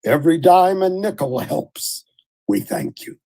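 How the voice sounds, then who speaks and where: A man's voice: high voice, a little slow, mellow. A man hosting a theatre radio show, making announcements and appeals to the audience.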